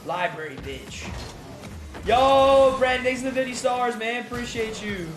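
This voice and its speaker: A man's voice: very high-pitched, a little slow, soft background music. A young man streaming live, chatting casually with his viewers and reading their messages aloud, in a laid-back, filler-heavy way. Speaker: gaming streamer.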